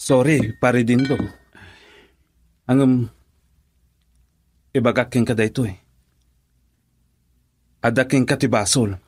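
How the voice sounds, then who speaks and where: A man acting a frank friend who gives blunt advice with drawn-out delivery in an Ilocano radio drama. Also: medium pitch, steady tone, a little mellow, breathy edge. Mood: frank, nudging. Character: frank male buddy giving blunt advice.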